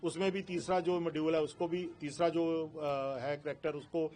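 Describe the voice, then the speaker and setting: A man with a Punjabi accent speaking as a senior police officer at a press briefing, explaining things haltingly. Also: fairly high pitch, flat delivery, darkish tone. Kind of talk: press briefing in Hindi mixed with English.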